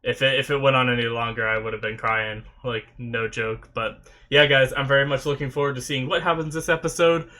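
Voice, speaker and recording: A man's voice: medium pitch, fairly even intonation, brisk, few pauses, bright, forceful tone. A man hosting an anime reaction video, chatting casually to viewers while still sniffly and recovering from a sore throat. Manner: rambling, loose, talks with many fillers.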